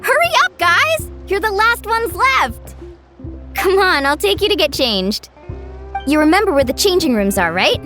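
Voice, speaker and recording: A very high, bright girl's voice, bubbly and energetic. She is an eager young adventurer in an anime video game, exclaiming in lively swoops over faint music. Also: measured pace, full character voice. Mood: cheerful, hurried.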